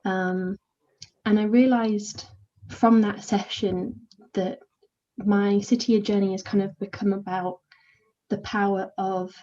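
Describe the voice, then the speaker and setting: A young woman with a soft, soothing voice and a mellow, warm tone talks in a quiet, introverted way. She answers casually and hesitantly, filling gaps with many small fillers. The sound is dull and lo-fi, like audio from a video call. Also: medium pitch, level tone, slow pace. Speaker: volunteer mentor.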